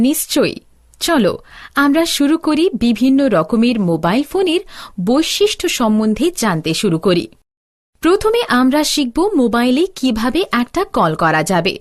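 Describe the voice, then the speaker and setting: A woman narrating a scripted tutorial as an instructor, explaining in a didactic, step-by-step way. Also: high voice.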